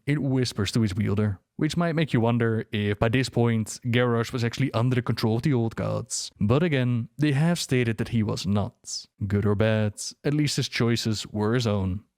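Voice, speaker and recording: A man's voice: lowish voice, darkish tone, no room sound. A man narrating scripted lore as a dramatic storyteller, close to the mic. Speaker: video narrator.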